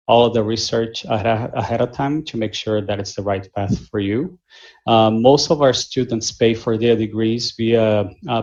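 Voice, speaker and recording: A man from Brazil with a Brazilian Portuguese accent, an admissions director answering questions at a measured pace with many fillers over a video call. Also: medium pitch, gravelly, darkish tone. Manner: measured, with frequent fillers and restarts. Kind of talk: answering questions in a virtual info session. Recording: muffled lo-fi video call audio.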